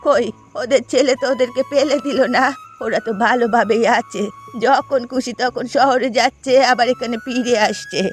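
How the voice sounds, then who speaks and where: A woman's voice: fairly high pitch, fairly even intonation, moderate pace, natural voice. A woman playing a doting, long-suffering ageing village mother in a Bengali cartoon, speaking earnestly and plaintively. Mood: sorrowful. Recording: background music under dialogue.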